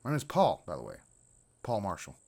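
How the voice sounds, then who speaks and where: A man voicing a frightened, winded male jogger around forty with a halting, pleading manner in a horror story. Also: deep voice, huge pitch swings, gravelly, a little mellow, slightly rough, heavily acted. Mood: uneasy, grateful.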